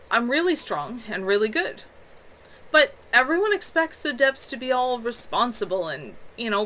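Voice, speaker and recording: A woman's voice: fairly high pitch, pushed and bright, rough recording. A woman voicing a cocky, playful young male elf mage while reading her novel aloud.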